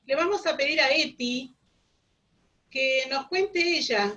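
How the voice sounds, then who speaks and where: A woman moderating an online gathering, introducing speakers in a slow, measured way. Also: high voice, sharp tone, muffled recording. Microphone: video call.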